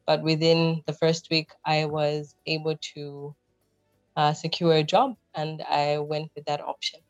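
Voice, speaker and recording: A woman's voice: sits low, level tone, a little slow, dry recording, muffled recording, faint music behind. A woman from Zambia in her thirties, a podcast guest, explaining her background and ideas thoughtfully with frequent fillers. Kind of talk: conversational interview answers.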